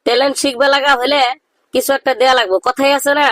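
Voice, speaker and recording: A man voicing a cheeky, boastful young village know-it-all in a comedy cartoon, with a rural Bangladeshi accent and a pitched-up voice. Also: flat delivery, quick pace, pushed and bright. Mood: boastful, teasing. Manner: bragging, teasing, declamatory.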